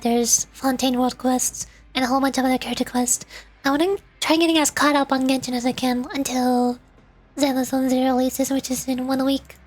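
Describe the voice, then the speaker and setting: A woman chatting casually on a gameplay livestream, playful and given to saying cute sound-effect words aloud. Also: high voice. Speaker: VTuber game streamer.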